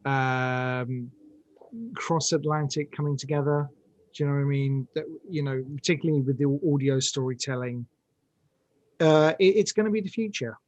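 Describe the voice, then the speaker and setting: British man, mid-pitched, very dry close sound. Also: steady tone, moderate pace, a little mellow, dry recording. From United Kingdom. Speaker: interview guest, audio drama director. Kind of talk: conversational interview.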